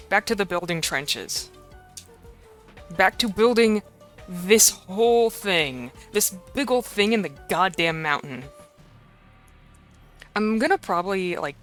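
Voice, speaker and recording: Woman streamer, a bit hoarse, low-ish pitch, rambling playful chat. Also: a bit bright. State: slightly hoarse. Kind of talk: casual live-stream chat.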